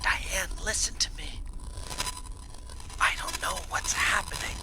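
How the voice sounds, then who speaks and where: A man playing a concerned ghostly husband in an audio horror drama, speaking in a breathy half-whisper. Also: high voice, slow, heavy background noise. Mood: urgent, suspicious. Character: ghostly dead husband calling through car radio, concerned.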